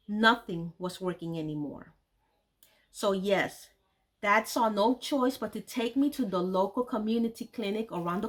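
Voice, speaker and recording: Woman earnestly reading her memoir. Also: medium pitch. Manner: earnest, grave storytelling. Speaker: memoir author and storyteller.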